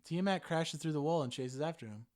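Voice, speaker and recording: A man's voice: on the high side, brisk, darkish tone. A man co-hosting a podcast, chatting casually and chuckling about an old cartoon. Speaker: podcast co-host. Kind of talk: casual chat.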